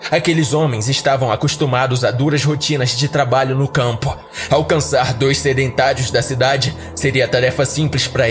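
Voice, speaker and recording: A man with a Brazilian accent, a podcast host, giving a dramatic scripted first-person horror narration. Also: mid-range voice. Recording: background music under the voice. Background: music.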